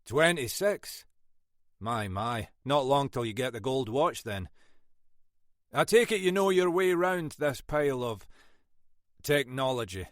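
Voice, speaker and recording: A wry, teasing older police inspector, voiced a touch higher than the reader's own tone, as a male narrator puts him on. The lines swing up and down in pitch and come slowly, and the recording is close and dry. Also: animated, dry recording, close to an everyday voice. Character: Detective inspector Monroe, older man, wry and dry-humoured.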